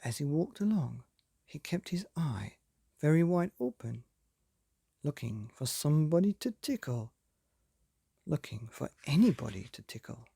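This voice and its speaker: A man reads a children's story in a mellow, husky voice with a lot of creak. His pitch swings widely as he leans into dramatic pauses and speaks straight to his young listeners. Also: very animated, dry recording, studio quality. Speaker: storyteller, podcast reader. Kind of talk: expressive children's bedtime story reading.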